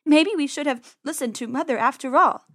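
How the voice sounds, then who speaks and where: A woman's voice: high voice, fairly quick, a bit bright, voice pushed up. A woman voicing a bossy, brave little girl rabbit in a children's storybook, urgent and take-charge. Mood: bossy, determined.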